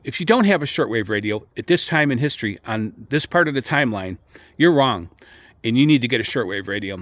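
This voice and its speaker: A man presents an informal monologue for his radio hobby channel. He speaks deliberately and with emphasis, repeating key words and leaving pointed pauses. His pitch sits in an ordinary middle range, and the sound is dry, with very little room echo. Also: a bit bright. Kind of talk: informal opinion monologue to viewers.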